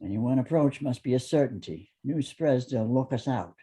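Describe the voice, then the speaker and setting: A man reading a careful, formal businessman plotting an escape in a drama script, speaking in a measured way. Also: mid-range voice, lively intonation, dark tone, breathy edge. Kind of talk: table read of a TV drama script.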